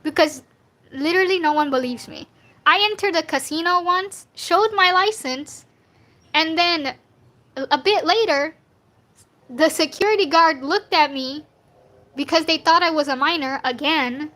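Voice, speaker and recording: Bright, high, youthful young woman's voice. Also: measured pace. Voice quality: youthful, sounds younger than her age. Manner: slangy, rambling, self-deprecating. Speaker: streamer drawing fan art live. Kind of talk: casual livestream chat while drawing.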